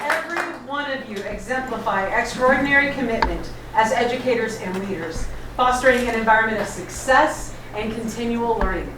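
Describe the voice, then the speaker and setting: A woman's voice: on the high side, a little fast. A woman hosting an awards ceremony, reading formal, upbeat announcements. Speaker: event emcee. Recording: echoey hall from far off, noisy.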